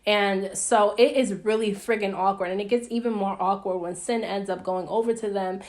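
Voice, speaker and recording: Young American woman, medium pitch, casual gossipy recap, chatty, opinionated, slangy. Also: steady tone, normal speed, darkish tone. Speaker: YouTube reviewer recapping reality TV.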